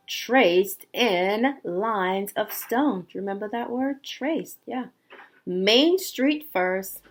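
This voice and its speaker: A woman teacher reading aloud and explaining words to young children in an expressive way, with simple asides and check-in questions. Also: medium pitch. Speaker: elementary school teacher. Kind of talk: read-aloud storytelling and word lesson for young children.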